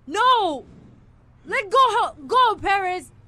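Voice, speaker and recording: A woman's voice: extremely high pitch, lively intonation, extremely slow, sharp tone, heavily acted. A woman acting an earnest, lovestruck young male student in a live amateur radio play. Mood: alarmed. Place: small college radio studio.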